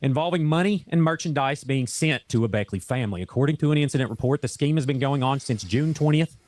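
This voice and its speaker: A hoarse man reading a news report aloud in a casual way, stumbling now and then and adding his own corrections. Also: mid-range voice, quick pace, darkish tone, dry recording. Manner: casual, stumbles over words, adds corrections. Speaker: online video host reading a news statement.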